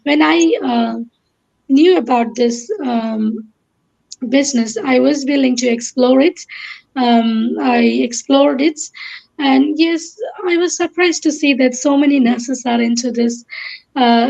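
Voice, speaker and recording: Woman, slightly high, gravelly, hesitant with fillers, muffled call audio. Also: a little mellow. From Kerala, India. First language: Malayalam. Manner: hesitant, many ums and uhs. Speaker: guest. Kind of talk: online panel interview.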